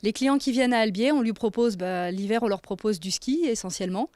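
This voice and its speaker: A woman's voice: fairly high pitch, a little fast, a little mellow, dry recording. A woman giving informal, explanatory interview answers as an office administrator. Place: indoors in a tourist office.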